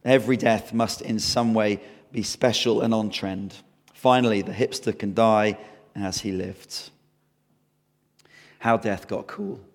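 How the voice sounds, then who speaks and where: A man preaching a sermon and leading prayer, hoarse from losing his voice, in an earnest, conversational way. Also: fairly low pitch, fairly even intonation, unhurried, long reverb tail.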